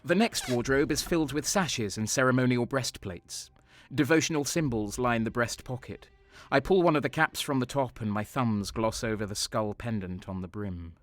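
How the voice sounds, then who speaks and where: A man's voice: mid-range voice, moderate pace, hardly any pauses, close to an everyday voice. An actor playing a cynical, cold and sadistic soldier who narrates his crimes in a brooding, sardonic way in an audio drama. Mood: brooding, bitter.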